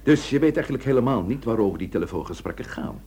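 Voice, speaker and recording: An actor in a Dutch radio drama playing a sceptical, wry police inspector who hums and probes with questions. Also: male voice, medium pitch, lively intonation, quick pace, dark tone, husky. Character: gruff, sceptical police inspector, career-minded former suitor.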